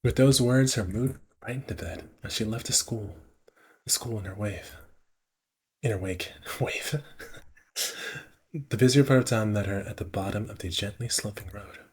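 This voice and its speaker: A man live streaming, reading visual novel narration aloud in a halting way, often stumbling and repeating phrases. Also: fairly low pitch, slightly rough.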